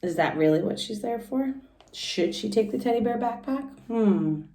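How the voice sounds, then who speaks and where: Female teacher, read-aloud for kids with commentary; higher voice, roomy echo, off-mic. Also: lively intonation, normal speed, mellow. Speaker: teacher reading aloud to children. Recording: echoey room, distant from mic.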